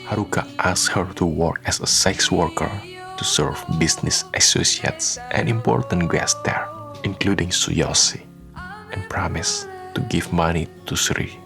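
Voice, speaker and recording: Deep, slow, solemn male narrator. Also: wildly swooping pitch, gravelly. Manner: solemn, measured. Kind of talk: scripted radio drama narration. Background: faint music.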